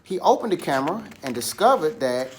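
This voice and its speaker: A man telling a children's story in an animated style, with dramatic pauses and drawn-out, emphatic words. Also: fairly high pitch, slight background noise. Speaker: storybook reader.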